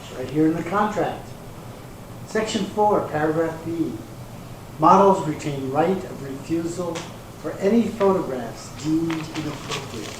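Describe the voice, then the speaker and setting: A man acting in a readers theater piece as a slick, smooth-talking promoter with a grandiose, placating salesman's patter. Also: a little high, lively intonation, measured pace. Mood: coaxing, reassuring. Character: slick, smooth-talking male promoter pitching a glamour calendar. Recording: echoey hall from far off, hissy room noise.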